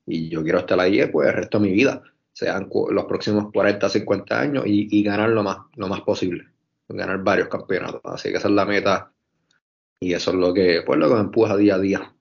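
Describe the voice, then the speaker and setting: A young man from Puerto Rico, speaking with a Puerto Rican accent, answers interview questions as a basketball coach. He talks earnestly and repeats words as he goes. His voice sits low, and the audio is slightly muffled. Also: a little fast, creaky. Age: young adult. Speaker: basketball coach and video coordinator.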